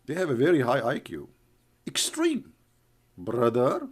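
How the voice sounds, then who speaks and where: A man's voice: mid-range voice, wildly swooping pitch, a little slow, breathy edge. Scornful man. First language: Arabic. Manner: mocking, scornful, calls names. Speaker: online commentator. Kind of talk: heated argumentative commentary.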